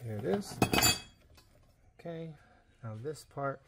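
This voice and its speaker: A man's voice: mid-range voice, expressive, slow, vocal fry, heavy background noise. A man giving a casual hands-on how-to, thinking aloud informally as he works with tools on camera. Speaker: DIY video maker demonstrating a build.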